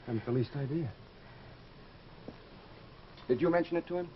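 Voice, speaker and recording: An actor playing a concerned, plain-spoken friend in an old-time radio drama, a little hesitant. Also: male voice, a little high, moderate pace, lightly acted. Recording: muffled, noisy old radio recording.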